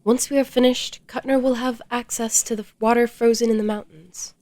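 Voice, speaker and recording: Lady playing clockwork woman, fantasy audio drama, calm n formal, kinda high, dry sound, very matter of fact. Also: normal speed, no echo, natural voice. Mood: serene, matter-of-fact. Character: serene, literal-minded female clockwork being, loyal sister.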